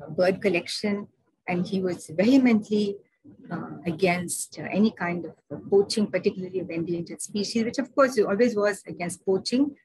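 A woman's voice: medium pitch, darkish tone, slightly rough, no room sound, poor audio. A woman with an Indian English accent giving a seminar talk over slides, chatty and digressive, with many fillers and restarts. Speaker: seminar speaker.

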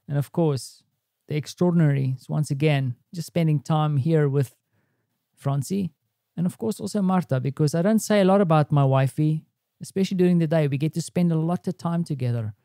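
A man's voice: a little high, fairly quick, dark tone, dry recording, clean studio sound. A man hosting a personal podcast, talking casually and reflectively, as if keeping an audio diary. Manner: reflective, conversational. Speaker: podcast host.

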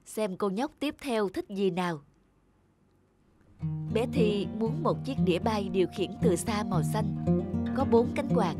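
A woman's voice: mid-range voice, animated, measured pace, pauses often, mellow, close to an everyday voice. Actress as a grown woman reading lists, music behind. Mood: reading, interested. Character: adult woman, parent organizer reading children's wish lists. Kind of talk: cartoon dialogue, reading aloud.

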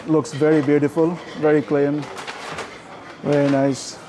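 A man's voice: fairly high pitch, level tone, measured pace. A man filming a casual travel food vlog with a handheld camera, walking through restaurants and praising the food in simple, friendly words. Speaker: travel vlogger. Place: restaurants and streets, indoors and outdoors. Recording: noisy handheld camera audio.

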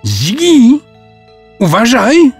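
Male narrator as sensible little girl, easily annoyed, raised pitch, swooping intonation, emphatic, storybook audio, music behind. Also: wildly swooping pitch, slightly rough, heavily acted. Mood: scolding. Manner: emphatic, drawn-out questions. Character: young schoolgirl, sensible, easily annoyed, chiding.

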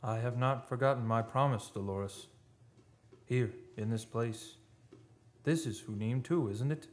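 A man's voice: mid-range voice, unhurried, lightly acted. An actor playing a solemn, haunted man devoted to his beloved in a live radio drama, speaking dramatically. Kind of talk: live vintage-style radio drama narration and acting. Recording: noisy live theater radio recording.

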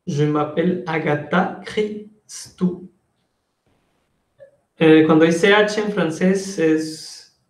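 A man's voice: a little high, a little mellow. A young man, a Spanish speaker, teaching an online language class with careful enunciation and repetition for learners. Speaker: French teacher. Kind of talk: online language lesson, switching between French and Spanish. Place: indoor room, live online class. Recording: roomy, echoing indoor sound.

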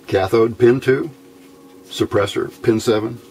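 A man giving an informal, unscripted hands-on tutorial in a plain-spoken, halting way, recorded indoors at a workbench on a camera mic. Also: mid-range voice, fairly even intonation, gravelly, darkish tone, slight background noise. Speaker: host of a hands-on electronics tutorial.

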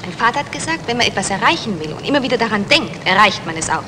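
A woman playing an eager, excitable wife in a retro German radio crime play. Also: medium pitch, fast, noisy background, natural voice. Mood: pleased, satisfied. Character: eager, excitable married woman won over by luck. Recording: reverberant radio play, music behind.

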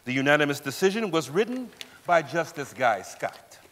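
A man's voice: a little high, very animated, normal speed, a bit bright. A man playing a formal narrator, giving emphatic scripted narration in a stage reading. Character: formal male narrator of a court history. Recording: reverberant theatre hall.

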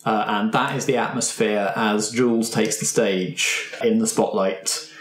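A man's voice: on the low side, steady tone, a little slow. A man hosting an improvised tabletop roleplaying game, narrating scenes and putting questions to the players as game master. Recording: roomy, echoing indoor sound.

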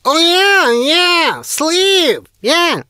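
A performer voicing a dotty, shrivelled, very ancient forefather in a comic animated fairy tale, confused and halting. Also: extremely high pitch, dry recording, clean studio sound, exaggerated voice. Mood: confused, chirpy.

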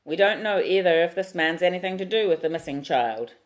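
A woman voicing a calm, official male police officer who speaks briskly and reassuringly. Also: lowish voice, pitched above the performer's own voice, lightly acted.

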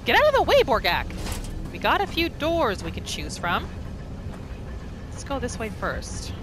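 A woman's voice: a little high, huge pitch swings, unhurried, noisy background. A woman giving reactive gameplay commentary, exclaiming and thinking aloud as she plays through a roleplay. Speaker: gamer narrating a roleplay playthrough.

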